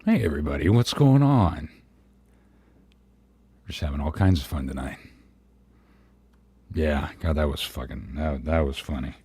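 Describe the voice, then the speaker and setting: A man with a very deep, husky voice chatting casually as co-host of a livestream. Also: moderate pace, pauses often, warm tone, no echo. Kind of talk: casual chat.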